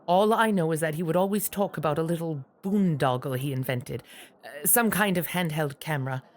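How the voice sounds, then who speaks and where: A woman's voice: low-pitched, a little fast, lightly acted. An actress playing a loyal, motherly guardian, composed and earnest, in an audio drama. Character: loyal, concerned motherly guardian woman, gently pressing.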